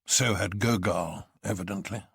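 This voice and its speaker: A man's voice: deep voice, normal speed, a bit bright, breathy edge, no echo, heavily acted. Storybook professor male reader acting: a young man in disguise, grim, dispassionate, sober, with a close dry sound. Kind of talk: dramatic storybook reading.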